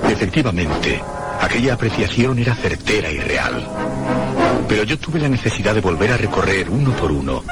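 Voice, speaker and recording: Radio mystery narrator: haunted traveller, deep pitch, grave deliberate delivery, music underneath. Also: male voice, big pitch swings. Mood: mysterious, awed. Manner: solemn, deliberate, suspenseful repetition. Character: haunted one-armed male traveller recounting mysteries, solemn, troubled. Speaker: narrator and protagonist. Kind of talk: dramatic scripted radio narration.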